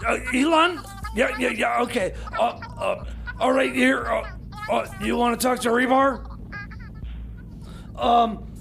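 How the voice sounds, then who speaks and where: A man playing a high-voiced, stammering, flustered guy. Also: vocal fry, over music, heavily acted. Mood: flustered, placating. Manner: stammering, repetitive, halting. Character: flustered, pleading man juggling a difficult business phone call. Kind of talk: improvised comedy radio sketch.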